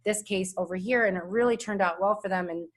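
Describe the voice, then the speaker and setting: A woman's voice: mid-range voice, fairly quick. A woman, a speech-language assistant and parent, speaking earnestly and reflectively in a casual conversation.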